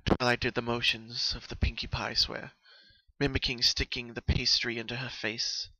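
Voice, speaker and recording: A man delivers dramatic scripted story narration at a middle pitch. His voice is bright and crisp-edged, recorded dry with very little room sound. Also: little pitch movement, normal speed, dry recording, low-quality recording.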